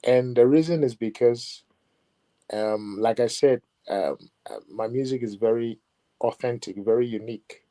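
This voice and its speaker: A man being interviewed as a musician, talking in a measured, reflective way with many "you know" asides. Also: mid-range voice, mellow. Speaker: musician guest. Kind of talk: interview conversation.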